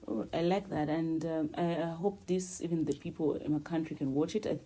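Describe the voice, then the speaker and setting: A woman's voice: sits low, steady tone, a little fast, warm tone, slight background noise. A woman hosting an interview, giving many short encouraging backchannels. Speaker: host. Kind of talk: interview chat.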